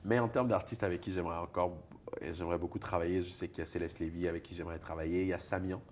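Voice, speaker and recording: A deep baritone man from Togo, guest in an interview, talking in an animated way about his music and laughing often. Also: rapid-fire, a little hiss or hum. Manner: animated, laughs often, repeats words when searching. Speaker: singer-songwriter and poet guest. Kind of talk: conversational interview.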